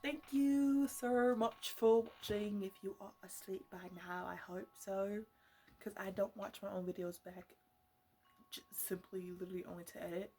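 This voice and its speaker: A woman's voice: mid-range voice, steady tone, normal speed, warm tone. Woman, ASMR creator, casual rambling chat, playful, music and chimes in the background.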